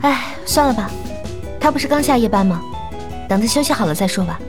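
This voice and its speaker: An actress playing a calm, polite, modest young woman in an audio drama, understated and easygoing. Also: fairly high pitch, brisk, music in the background, lightly acted. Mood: indifferent. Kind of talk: Chinese audio drama acting.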